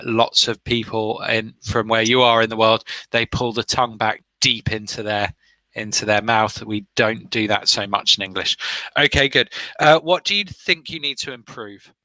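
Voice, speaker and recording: A man's voice: medium pitch, steady tone, normal speed, bright, forceful tone, no room sound, poor audio. A man who is a former examiner, asking practice questions and giving encouraging, step-by-step feedback over an online video call. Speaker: former IELTS examiner running speaking practice.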